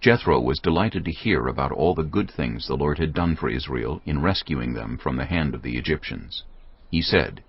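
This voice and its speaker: A man's voice: a bass voice, expressive, normal speed, breathy edge, lo-fi sound. A man narrating scripture from a script in a solemn storytelling manner. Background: music.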